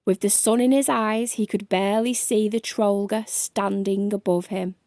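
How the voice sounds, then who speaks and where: A woman's voice: mid-range voice, fairly even intonation, normal speed, warm tone, dry recording, studio quality. A woman storyteller reading a children's bedtime story aloud.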